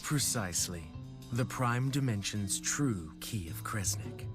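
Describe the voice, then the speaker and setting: An actor playing a smug, scheming corporate director in a video game, polite yet condescending and insinuating. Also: male voice, on the low side, animated, a little slow. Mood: smug, explanatory. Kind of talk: video game cutscene acting. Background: background music.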